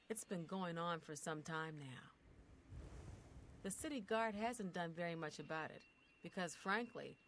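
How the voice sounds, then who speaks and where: A woman acts out an aggrieved townswoman in a fantasy video game, a frank wife given to rambling. Her voice sits slightly low for a woman, over faint background noise. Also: close to an everyday voice. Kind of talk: video game dialogue acting.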